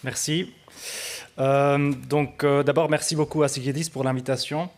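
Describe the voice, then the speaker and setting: A man's voice: medium pitch, level tone, moderate pace. A man, not a native speaker of the language he speaks, giving a conference presentation as a researcher, hesitant and full of fillers. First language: not French. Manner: hesitant with many fillers, careful phrasing. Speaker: researcher presenting at a study day. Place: conference room.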